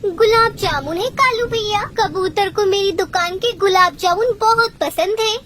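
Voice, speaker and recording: A woman's voice: very high voice, unhurried, pushed and bright, heavily acted. A woman voicing a small, hardworking female bird who keeps a shop in a cartoon story, polite and businesslike. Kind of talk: Hindi cartoon story voice acting.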